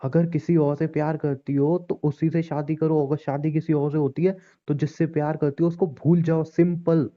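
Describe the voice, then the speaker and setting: An actor playing a young, wounded, estranged husband in a relationship drama, speaking in a curt, confrontational way and repeating himself. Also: male voice, fairly high pitch, level tone, moderate pace, few pauses, close to an everyday voice. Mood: bitter, hurt. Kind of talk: Hindi audio relationship drama, naturalistic dialogue. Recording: dull lo-fi recording.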